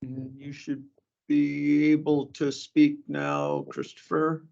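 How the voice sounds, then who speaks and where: Gravelly-voiced man chairing a meeting. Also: fairly high pitch, little pitch movement, dark tone. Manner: slow and hesitant, with many fillers. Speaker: board chair. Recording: muffled, distant meeting audio.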